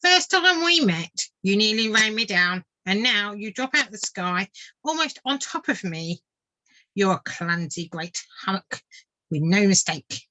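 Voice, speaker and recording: A woman voicing a small, sharp-tongued male water vole in a children's storybook, blunt, wary and grumbling. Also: medium pitch, sing-song, unhurried, voice pushed up, low-quality recording. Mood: sharp, scolding.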